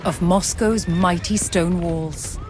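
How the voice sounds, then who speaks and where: A woman reading scripted documentary narration in a measured, dramatic storytelling manner. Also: on the low side, steady tone. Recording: music under the voice. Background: music.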